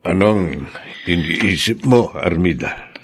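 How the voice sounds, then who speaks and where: A forgiving older father and husband acted by a man in an audio drama. His husky voice sits fairly low and moves expressively, tender and reassuring. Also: lively intonation, slow, full character voice.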